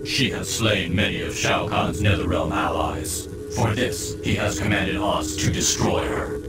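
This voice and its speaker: A man's voice: deep voice, measured pace. An actor playing a male spectral warrior of many souls, who speaks of himself as "we" in a solemn, formal way. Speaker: game character. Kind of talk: video game cutscene acting. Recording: echoey distant voice under loud music.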